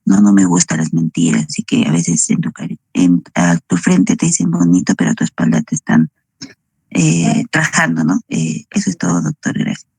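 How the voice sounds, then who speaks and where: A woman's voice: a bass voice, very animated, normal speed, vocal fry, a little mellow, husky, no room sound. A woman student in an online class speaking with courteous, apologetic phrasing. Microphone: video call.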